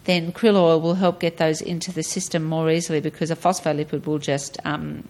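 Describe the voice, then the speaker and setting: Chatty middle-aged Australian woman, lowish voice. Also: flat delivery, brisk, darkish tone. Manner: chatty, explanatory. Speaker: podcast guest discussing health and personal tastes. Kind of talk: casual chat.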